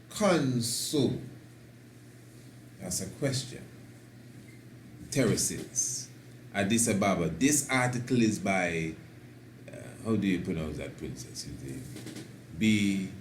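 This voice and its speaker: Slow, emphatic man in a roomy space. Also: lowish voice. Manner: slow, emphatic, rises to excited declarations. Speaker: host. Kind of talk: preaching talk. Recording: roomy echo with faint noise.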